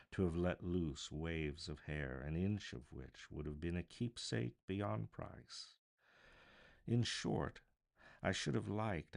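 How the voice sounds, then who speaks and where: A man reading a classic story aloud as a voice artist, with theatrical, expressive narration. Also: sits low, creaky. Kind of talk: dramatic live audiobook narration of a classic novel.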